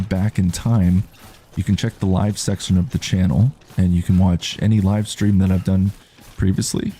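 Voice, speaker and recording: A man with a baritone voice giving calm, unhurried commentary while he plays a game and reads chat on a live stream. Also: slight background noise. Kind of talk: relaxed live gameplay commentary.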